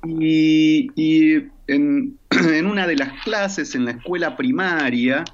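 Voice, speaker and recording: A man, answering interview questions in a measured, reflective way and checking in with "¿no?". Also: on the high side. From Argentina. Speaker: guest. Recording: muffled lo-fi audio.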